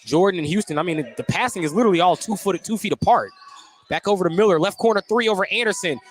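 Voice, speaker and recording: A man calling live basketball play-by-play on the radio, getting excited on big plays. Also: high-pitched, fast, slight background noise. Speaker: play-by-play announcer. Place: basketball gym at a tournament.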